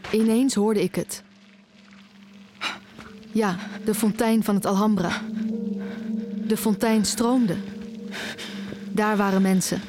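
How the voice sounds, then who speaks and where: A woman's voice: medium pitch, steady tone, slow, long pauses, close to an everyday voice. Audio drama lead: actress as anxious young female student, narrating and in dialogue, over prominent music. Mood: tense, hushed narration. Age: young adult. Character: anxious young nearly blind student recounting her search. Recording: music behind the voice.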